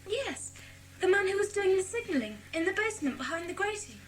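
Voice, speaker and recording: A woman's voice: extremely high pitch, expressive, fairly quick. A female performer playing an eager, outspoken young schoolgirl in a children's audio drama.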